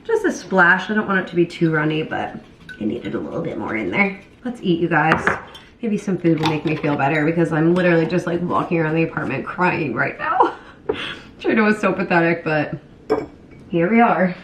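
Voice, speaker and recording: A young woman with a slightly low voice talks casually to her camera through her day at home, in a store and in the car. She is candid and full of fillers, and she has a cold. A steady noise hiss runs under her voice. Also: a little fast. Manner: chatty and candid, many fillers. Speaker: vlogger. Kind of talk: casual vlog talk to camera.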